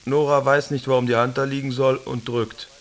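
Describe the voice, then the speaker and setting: A man's voice: mid-range voice, flat delivery, a little fast, close to an everyday voice. A man acting in a radio drama as a storyteller, narrating in short, matter-of-fact phrases. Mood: detached.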